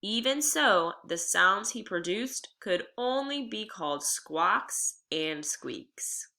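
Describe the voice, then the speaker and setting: A woman reads a story aloud in the manner of a schoolteacher. Her voice is bright and animated, moving widely in pitch. She takes her time, shaping each sentence with deliberate expression. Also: gravelly. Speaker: teacher reading aloud to students. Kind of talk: expressive read-aloud of a children's memoir.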